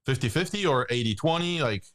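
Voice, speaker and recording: A man's voice: medium pitch, lively intonation, a little fast, a bit bright. A man from Poland hosting a podcast, asking rambling questions and answering with lots of yeah. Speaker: podcast host. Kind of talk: casual interview.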